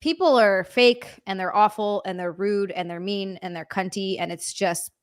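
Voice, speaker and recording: A woman's voice: mid-range voice, normal speed. A woman from Hawaii in casual podcast chat, talking bluntly and swearing freely. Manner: blunt and profane.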